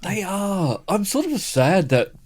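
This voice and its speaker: A man's voice: high-pitched, sing-song, slow, a little mellow. A man with a Western Sydney Australian accent, laid-back and teasing as a podcast co-host in casual chat.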